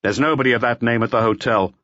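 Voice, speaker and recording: A man's voice: medium pitch, fast talker, fairly bright tone, dry recording, dull audio, pitched above the performer's own voice, close to an everyday voice. A man voicing a stern, persistent police detective in an audiobook, questioning bluntly. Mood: pressing, stern. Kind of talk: audiobook character voice.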